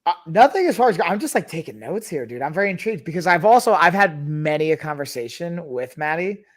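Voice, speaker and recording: A man's voice: fairly high pitch, big pitch swings, quick pace. A man hosting a casual livestream chat, talkative and laid-back. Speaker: podcast host, fitness coach.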